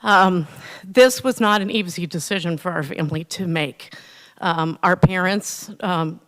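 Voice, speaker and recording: A woman in her fifties speaks haltingly at a council hearing, her voice a little low and smooth. She is emotional and pauses often with ums. The long reverb of a council chamber trails her words. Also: fairly bright tone. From Ohio. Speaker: resident speaking at public hearing. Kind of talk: public comment at council meeting.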